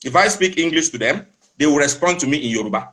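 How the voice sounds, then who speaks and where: Calm Nigerian father explaining. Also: fairly high pitch, fast, noisy background. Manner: calm, explaining, repeats phrases. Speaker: father and channel runner. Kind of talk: casual interview answers.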